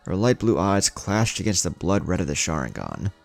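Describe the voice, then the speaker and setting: A man's voice: low voice, big pitch swings, normal speed, vocal fry, faint music behind. A man narrating fan fiction in audiobook style as a channel host.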